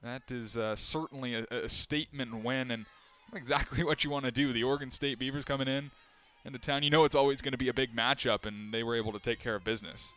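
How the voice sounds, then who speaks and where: A young man calling live games as a play-by-play announcer, steady between plays and climbing sharply in pitch and volume on big moments. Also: fast talker, few pauses. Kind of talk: live sports play-by-play commentary.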